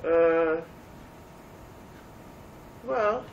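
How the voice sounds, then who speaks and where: An older woman from the Netherlands, speaking English with a Dutch accent, recalls her past in an oral history interview, pausing often with fillers. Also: lowish voice, reverberant, highs cut off. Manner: halting, many pauses and fillers. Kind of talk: reminiscing conversation. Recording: distant mic, faint noise.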